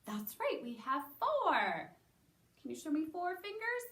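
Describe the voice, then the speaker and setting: A woman hosting story time for young children, speaking in a sing-song, encouraging way and pausing as if for their answers. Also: high voice, big pitch swings, fairly bright tone. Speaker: children's story time host at a public library. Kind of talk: children's storytelling and singing, addressing young listeners. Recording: roomy echo, distant microphone.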